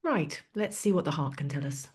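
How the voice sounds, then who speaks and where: Actress doing a lady pathologist, low voice, matter-of-fact and clipped, stage play. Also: lively intonation, normal speed, darkish tone, husky, exaggerated voice. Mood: clinical, detached. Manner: clipped, detached, businesslike. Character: matter-of-fact, clinical female pathologist dictating findings.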